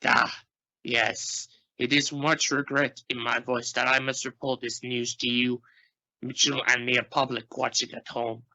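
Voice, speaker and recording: A man playing a solemn, formal Russian space agency spokesman in an amateur radio play, speaking with deliberate regret. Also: mid-range voice, level tone, pushed and bright. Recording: dull, noisy home-made radio play recording.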